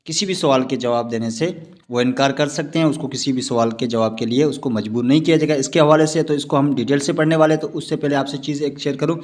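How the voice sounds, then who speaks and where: A man's voice: mid-range voice, fast, darkish tone. A man with a Pakistani accent lecturing on law in an explanatory way, repeating and rephrasing his points. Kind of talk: explanatory online lecture with read-out passages.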